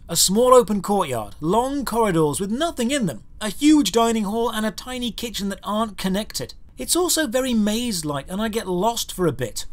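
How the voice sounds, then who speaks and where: High-voiced sardonic man reviewing a game. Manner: sardonic, exasperated critique. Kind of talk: scripted comedic game review narration. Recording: music behind the voice. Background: background music.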